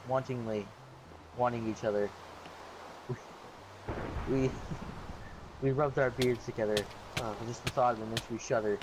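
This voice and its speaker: A young man reading out a crude joke story with deadpan, slow, deliberate delivery. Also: mid-range voice, background noise. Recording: music in the background.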